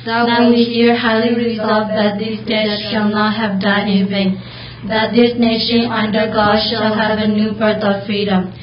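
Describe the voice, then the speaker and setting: A young girl from Burma reciting a memorized speech to a meeting, in a steady, even way. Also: medium pitch, a little slow, hardly any pauses. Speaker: middle school student. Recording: echoey room, dull audio.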